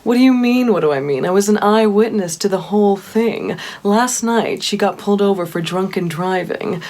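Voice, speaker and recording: A woman's voice: mid-range voice, normal speed, a little mellow, close to an everyday voice. A woman playing a brazen, scandal-loving gossip queen in a comic monologue, declaiming with dramatic emphasis. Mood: scandalized relish.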